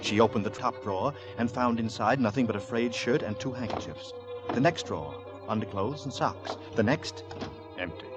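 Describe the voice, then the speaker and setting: A man narrating a 1940s radio suspense tale in a deliberate, ominous manner. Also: mid-range voice, close to an everyday voice. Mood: tense, ominous. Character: grave male narrator of a Victorian suspense tale. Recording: vintage 1940s radio drama, music underneath.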